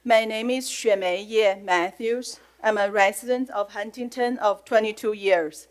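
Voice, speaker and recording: A woman's voice: medium pitch, fairly even intonation, unhurried, smooth. A middle-aged woman with a Chinese accent, giving an earnest, emotional prepared comment at a public school board meeting.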